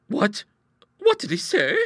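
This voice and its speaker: A man's voice: extremely high pitch, big pitch swings, moderate pace, sharp tone, dry recording, clean studio sound, pitched above the performer's own voice, exaggerated voice. A man voicing a timid, easily horrified genteel old spinster in an audiobook, with breathless, quavering speech. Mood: terrified. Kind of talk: audiobook character voice.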